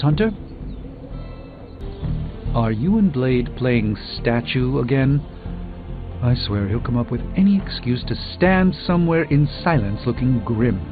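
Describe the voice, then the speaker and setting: An actor playing a middle-aged, erudite sorcerer mentor in a video game, speaking with formal, grandiose eloquence and dry wit. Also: male voice, medium pitch, phone-quality sound, lightly acted. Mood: wry teasing. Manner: theatrical, eloquent, formal, wry. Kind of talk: video game character dialogue. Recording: game dialogue over prominent music.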